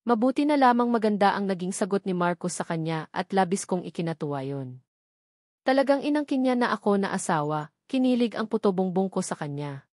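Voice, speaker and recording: A woman's voice: mid-range voice, animated, a little fast, darkish tone, no room sound, studio quality. A woman reading a first-person romance novel as a scripted story narrator. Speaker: audiobook narrator.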